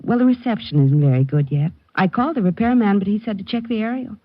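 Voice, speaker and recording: A woman's voice: mid-range voice, fast, dry recording, close to an everyday voice. An actress playing a private detective's teasing, playfully bossy girlfriend in a 1940s radio drama. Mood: coaxing. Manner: teasing, coy. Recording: muffled vintage radio, faint music.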